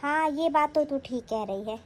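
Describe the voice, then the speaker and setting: A woman's voice: high voice, moderate pace, a little mellow, lightly acted. A woman acting a stern older mother-in-law who scolds and commands in a family skit.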